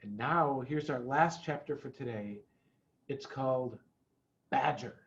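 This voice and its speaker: A middle-aged man reading a children's storybook aloud in an expressive, emphatic way. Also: medium pitch, a little slow, dull audio.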